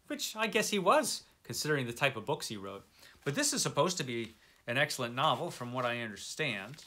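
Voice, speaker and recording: A man with a bright, forward voice at a middle pitch, talking off the cuff as a vlog host. He is informal and self-deprecating, and leans on certain words by stretching them out. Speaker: book vlogger, channel host. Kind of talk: casual, unscripted chat to viewers.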